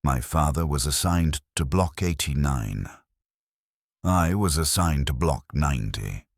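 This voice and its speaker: An extremely deep-voiced man narrates a grim science fiction tale in the first person. His delivery is slow and solemn, with measured, clinical phrasing. The sound is dry and close, with no room around it. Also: animated, creaky, no room sound. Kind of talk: scripted first-person sci-fi war story narration.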